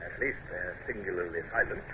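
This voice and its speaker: An actor playing a weary, proud British naval commander who is gruffly kind, with hesitant, clipped speech and throat-clearing, in old-time radio drama style. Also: male voice, sits low, brisk, close to an everyday voice. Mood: dry, brisk. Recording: muffled noisy old radio recording.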